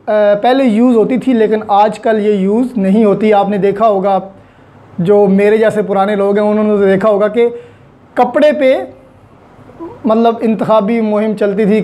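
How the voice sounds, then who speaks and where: A man's voice: high voice, dark tone. A man with a Pakistani accent giving an explanatory law lecture, posing questions and answering them, with English terms mixed in. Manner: mixes in English terms and phrases.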